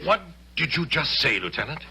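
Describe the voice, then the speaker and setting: Bright, swooping, blustery military colonel. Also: male voice, on the high side, big pitch swings, normal speed, full character voice. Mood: stern, incredulous. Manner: hearty, condescending, commanding. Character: jovial, domineering Hessian colonel fond of music. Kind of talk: old-time radio drama acting. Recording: noisy vintage radio drama recording.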